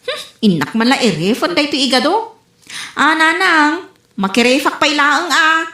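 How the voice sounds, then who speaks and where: Woman acting very high, animated young relative. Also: moderate pace, full character voice. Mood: curious complaining. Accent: Ilocano. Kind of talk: Ilocano comedy radio drama acting. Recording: echoey room, faint music behind.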